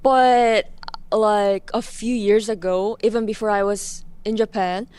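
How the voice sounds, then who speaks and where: A woman from Korea giving an informal interview answer in a hesitant, halting, thoughtful way. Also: medium pitch, steady tone, a little mellow, smooth.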